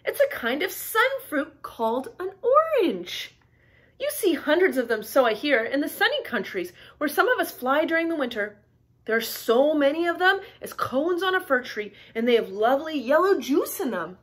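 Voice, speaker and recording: Woman voicing a chatty, high-pitched little finch. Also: sing-song, moderate pace, fairly bright tone. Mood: informative.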